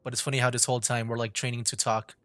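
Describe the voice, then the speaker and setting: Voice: medium pitch, level tone, racing, a bit bright, no room sound. A streamer casually commenting on a game, thinking aloud informally and reading dialogue choices out.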